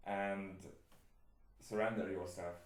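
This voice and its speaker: Low-voiced Hungarian-accented man, earnest. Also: little pitch movement, takes it slowly, reverberant. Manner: earnest and enthusiastic, laughs easily. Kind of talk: casual book club conversation. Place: home studio.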